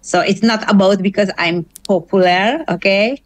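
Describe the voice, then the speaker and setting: A middle-aged woman from Hungary with a Hungarian accent, a guest in an interview who explains her views carefully and pauses often on "uh" while searching for words. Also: mid-range voice, no echo. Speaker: interview guest, gamelan singer. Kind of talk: thoughtful interview answers.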